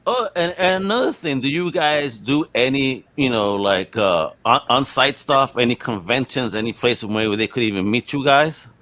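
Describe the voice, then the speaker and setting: A man's voice: mid-range voice, expressive, normal speed, few pauses, fairly bright tone, dry recording. A man hosting a casual interview podcast, playful and exclamatory, often repeating words for emphasis. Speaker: podcast host.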